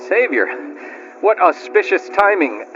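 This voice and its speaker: A man playing a courtly, smooth-talking magistrate in a video game, speaking in a formal, flowery manner. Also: sits high, very animated, measured pace, fairly bright tone, full character voice. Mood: ingratiating. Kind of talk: cinematic video game acting. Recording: dull lo-fi audio with music behind.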